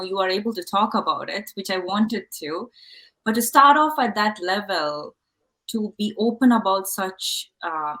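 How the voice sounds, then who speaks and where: A woman's voice: medium pitch, fairly bright tone, rough recording. Candid Indian woman, native Malayalam speaker. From Kerala, India. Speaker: talk show guest. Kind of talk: casual interview, telling personal stories.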